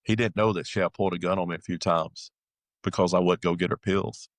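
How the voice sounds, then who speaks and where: A man giving a casual personal testimony as a guest, halting and conversational, often relaying dialogue as he tells it. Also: low-pitched, steady tone, no room sound. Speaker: guest telling his life story.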